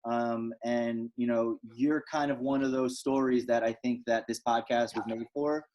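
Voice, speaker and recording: A man's voice: mid-range voice, fairly even intonation, normal speed, darkish tone. A man hosting a podcast who asks his guest questions in a loose, friendly way, filling his sentences with hedging "kinda"s. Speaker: podcast host. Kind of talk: casual interview. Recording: slightly dull, lo-fi sound.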